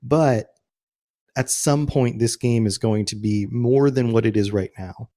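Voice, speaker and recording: A man's voice: mid-range voice, a little slow, mellow. A man hosting a podcast, explaining video game lore in a casual, conversational way. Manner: conversational, explanatory, quotes imagined reactions. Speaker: podcast host.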